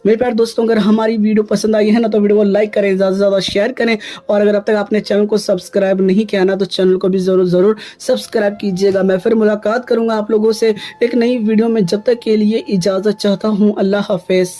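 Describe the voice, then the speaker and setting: A man with a noticeably high voice speaks as an online video host. His delivery is flat in pitch and scripted. He sounds earnest and admonishing as he counsels his listeners like friends. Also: fast talker, few pauses. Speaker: YouTube channel host. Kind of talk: scripted news commentary turning into religious exhortation.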